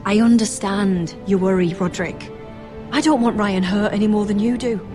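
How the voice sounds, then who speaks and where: Over some music, a woman plays a young fantasy game noblewoman with a medium-pitched voice. She is proud and determined, intense and pleading in her delivery. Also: steady tone, normal speed, lightly acted. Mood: urgent, persuasive. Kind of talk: video game dramatic acting.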